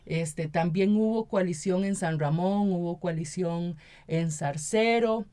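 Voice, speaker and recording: A woman with a Costa Rican accent and a slightly low voice gives an interview on radio. She explains in a deliberate, earnest way, with rather formal wording. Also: dark tone. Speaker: interview guest, activist and municipal representative.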